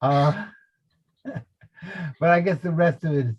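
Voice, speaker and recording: Husky older man with a Jersey accent. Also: on the high side, takes it slowly. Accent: New Jersey. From New Jersey. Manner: jokey storyteller. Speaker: co-host. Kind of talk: casual chat. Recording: dull lo-fi remote line.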